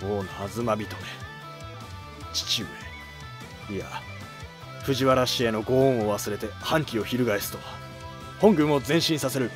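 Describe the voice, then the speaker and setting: A man's voice: mid-range voice, slow, pauses often, music in the background, natural voice. A man voicing a proud, stubborn young rebel nobleman in a historical drama, declaiming with indignant insistence.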